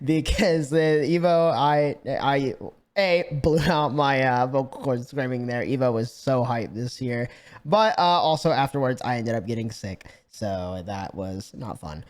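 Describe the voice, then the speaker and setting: A man giving a casual video game review with a slightly scratchy voice, animated, with lots of fillers and restarts. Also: medium pitch, measured pace. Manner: animated, swears freely, frequent fillers and restarts. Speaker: gaming YouTuber.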